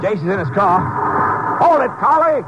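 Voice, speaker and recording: A man's voice: high-pitched, sing-song, normal speed, over music. A man playing a stern, commanding Texas Ranger with a clipped, dry delivery in a 1950s radio drama. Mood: urgent, commanding. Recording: dull 1950s radio recording.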